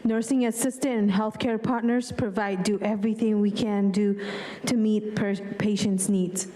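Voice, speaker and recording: Earnest woman, mellow voice. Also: medium pitch, steady tone, low-quality recording. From Lebanon. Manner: earnest, measured, slight grammar slips. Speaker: public commenter, nursing assistant. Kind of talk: prepared public comment.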